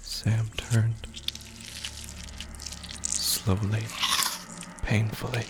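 A man narrating scripted horror fiction dramatically and gravely, with deliberate pauses and breathy drops toward a whisper. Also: lowish voice, flat delivery, very noisy.

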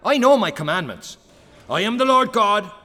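A man's voice: high-pitched, expressive, normal speed, bright, forceful tone, in a large hall, exaggerated voice. An actor playing a surly, self-righteous male gangster in a radio drama, defiant and pious in his talk. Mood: righteous, indignant.

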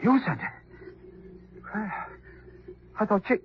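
A desperate, guilty husband in a vintage radio thriller. His voice is strained high and husky, halting with fear. The recording is dull and lo-fi. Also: lively intonation, exaggerated voice. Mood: shocked, confessing. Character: frightened, guilty husband who murdered his wife. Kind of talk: old radio crime drama acting.

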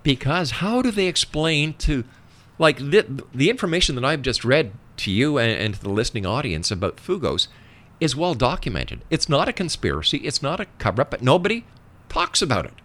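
A man with a medium-pitched voice hosts a radio interview. He explains in an emphatic, conversational manner, sometimes shifting into reading out information. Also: very animated, a little fast, fairly bright tone. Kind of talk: conversational interview with read-out facts.

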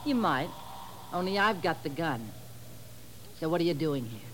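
A woman's voice: sits low, lively intonation, moderate pace. An actress playing a tough, defiant young frontier woman, curt and folksy, in a 1950s radio drama. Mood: threatening. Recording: faintly noisy 1950s radio recording.

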